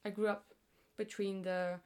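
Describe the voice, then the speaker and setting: A woman's voice: mid-range voice, little pitch movement, a little mellow, clean tone. Young woman chatting as a guest. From Greece. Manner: informal, halting and explanatory, many repeats of "like". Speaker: podcast guest. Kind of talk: casual interview chat.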